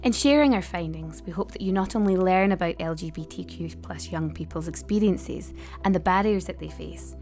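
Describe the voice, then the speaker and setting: Woman narrating over music, slightly low voice. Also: normal speed, few pauses, a little mellow. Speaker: training film narrator. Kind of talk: scripted narration.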